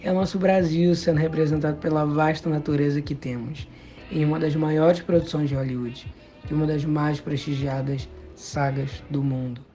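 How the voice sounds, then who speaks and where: Mellow Brazilian man, fairly high voice. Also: steady tone, moderate pace, soft background music. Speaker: podcast panelist.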